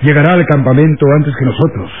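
An actor playing the hero's wary comrade, urgent and worried, in a radio drama. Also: male voice, fairly high pitch, fast, a little hiss or hum, close to an everyday voice. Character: wary male comrade of the hero. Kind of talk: Mexican radionovela acting. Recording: tinny old radio recording.

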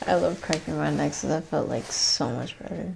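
A woman's voice: sits low, normal speed, creaky, background noise. A woman in her twenties, a patient giving short casual replies during a treatment. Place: small carpeted clinic treatment room. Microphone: microphone on a stand near the table.

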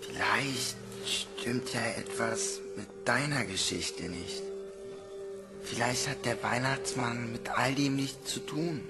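An actor playing a protective, matter-of-fact orphan boy in a hushed bedtime scene of an audio drama. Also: medium pitch, slow, loud music behind the voice. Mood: hushed, reassuring. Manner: hushed, down-to-earth.

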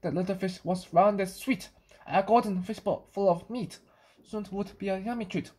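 A woman reading a children's picture book aloud, sometimes halting and repeating phrases. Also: medium pitch, dark tone, husky. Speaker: storybook reader.

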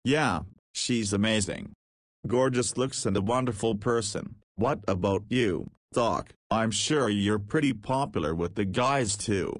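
Man playing a low-voiced, cheeky teenage guy. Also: a little slow, dry recording. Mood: teasing. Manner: casual, slangy. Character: cheeky, easygoing nineteen-year-old male ex-juvenile detainee. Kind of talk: visual novel character dialogue.

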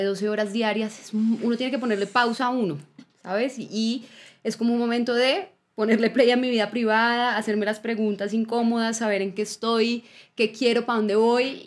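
A woman, an actress speaking as a podcast guest in a studio on a boom-arm microphone, talking earnestly with many fillers. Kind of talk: casual podcast chat. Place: indoor studio with blue backdrop. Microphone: studio mic on boom arm.

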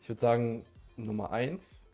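A man of twenty-one talking casually on a dating show, with relaxed, hesitant short answers. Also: medium pitch, measured pace, gravelly, soft background music. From Germany. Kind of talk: casual chat.

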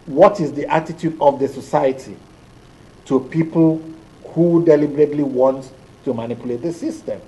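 A middle-aged Nigerian man, an electoral official, answering interview questions in a TV studio in a deliberate, hesitant way with many fillers. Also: a little high, dark tone, poor audio. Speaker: electoral commission official, guest.

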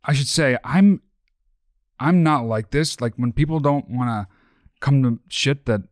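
An American man reasons through advice in casual podcast talk. His voice sits at a middle pitch and he explains in a measured, informal way. The sound is close and dry. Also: dry recording. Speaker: podcast co-host. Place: small podcast studio. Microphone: close boom-arm podcast mic.

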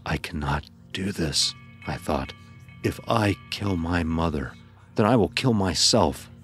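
Casting: male actor as anguished youth narrating dark fantasy horror, extremely low voice, grave and deliberate, music underneath. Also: lively intonation. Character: anguished blind youth recounting a deadly ordeal. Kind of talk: scripted first-person horror narration.

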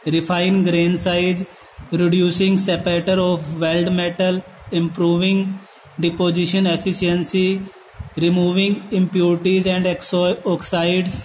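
High-pitched Indian man lecturing in a flat tone. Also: drawn-out words, a little hiss or hum, low-quality recording. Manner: switches between Hindi and English terms; repeats and rephrases points. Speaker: polytechnic lecturer. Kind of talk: lecture read from handwritten notes, explained step by step.

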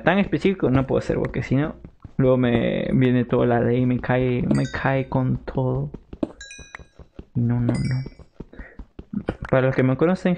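A man's voice: medium pitch, gravelly. Chatty Peruvian man streaming a game. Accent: Peruvian Spanish. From Peru. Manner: chatty, reads and answers viewer messages, jokey outbursts. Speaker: gaming streamer. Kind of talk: casual live-stream chat while playing. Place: home room. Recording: slightly dull lo-fi sound with faint noise.

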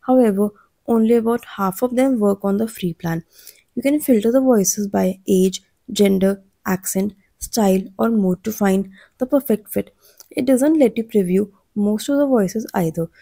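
A woman narrates a scripted explainer in a soft, dark-toned voice with some vocal fry. Her delivery is measured, and the recording is dry and close, with no room sound. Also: medium pitch, no echo. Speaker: channel narrator.

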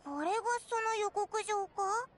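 Squeaky, very high childlike fairy. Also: female voice, a little slow, slight background noise. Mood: curious. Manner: blunt, boyish way of talking. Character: tiny, childlike, excitable fairy companion. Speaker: character voice actor. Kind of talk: anime game voice acting.

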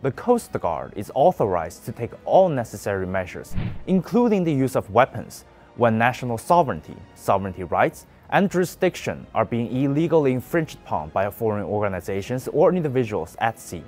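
A man's voice: medium pitch, sing-song, brisk, darkish tone, soft background music. A young man presenting a scripted news commentary with an emphatic, pointed delivery.

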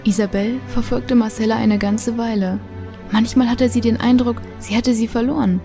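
A woman's voice: a little high, steady tone, moderate pace, warm tone, soft background music. A woman narrating a scripted audio drama as its storyteller.

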